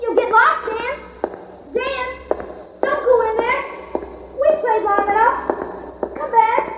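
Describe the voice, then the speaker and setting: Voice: extremely high pitch, slow pace. A performer playing an impatient, plaintive young boy with nagging, boyish slang in a 1950s radio drama. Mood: pleading. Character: impatient, anxious young boy, practical and whiny. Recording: tinny noisy 1950s radio recording.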